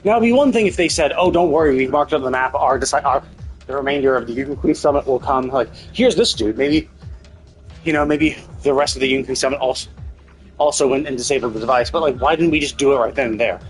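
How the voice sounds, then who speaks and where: A man's voice: mid-range voice, fast talker, soft background music, low-quality recording. A man giving rambling, critical live commentary as he plays, repeating himself for emphasis. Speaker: game streamer. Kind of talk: casual live game commentary. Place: live stream, game music behind.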